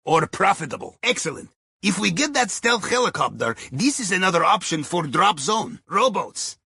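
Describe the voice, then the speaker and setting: A man's voice: high voice, big pitch swings, moderate pace, creaky, pushed and bright, slightly rough, no echo, studio quality, full character voice. An actor playing a sly, chatty Russian ex-navy helmsman in a video game, speaking with a Russian accent and foreign phrasing in a wry, conspiratorial manner. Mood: wry briefing. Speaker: mission guide over the radio.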